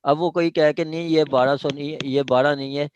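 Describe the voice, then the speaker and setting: A man's voice: on the high side, steady tone, brisk, dull audio, slight background noise. A man with a Pakistani accent gives an informal law lecture, explaining point by point with repetition and English legal terms mixed in. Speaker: law teacher. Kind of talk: informal lecture explaining statute clauses to a class.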